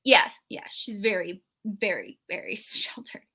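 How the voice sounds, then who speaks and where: A woman's voice: medium pitch, big pitch swings, unhurried, pushed and bright, breathy edge. A woman co-hosting a live book discussion, talking casually and rambling with many fillers and restarts. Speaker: book club co-host.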